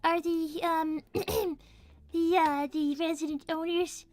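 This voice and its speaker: A woman voicing an excitable, easily indignant but polite young rabbit girl in an improvised roleplay stream. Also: very high voice, takes it slowly. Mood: polite, subdued. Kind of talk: improvised character roleplay livestream.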